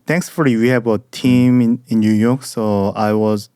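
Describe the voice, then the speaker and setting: Man, mellow smooth voice. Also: mid-range voice, moderate pace, no echo, clean studio sound. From South Korea. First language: Korean. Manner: relaxed, short prompts and questions. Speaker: podcast host. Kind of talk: casual interview chat.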